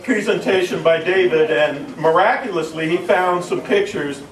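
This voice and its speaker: A man's voice: sits high, normal speed. A middle-aged man speaking informally and reading humorous verse to a family gathering, with a joking, irreverent manner. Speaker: family speaker introducing and reading a tribute poem. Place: room at a family gathering, audience behind. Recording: roomy, distant, noisy crowd recording.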